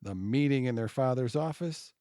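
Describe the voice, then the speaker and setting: A man's voice: mid-range voice, moderate pace, mellow, no echo, studio quality, natural voice. A man in an audio drama playing a courteous, fatherly family employee, with a polite, formal delivery. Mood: storytelling. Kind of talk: narration and audio theatre acting.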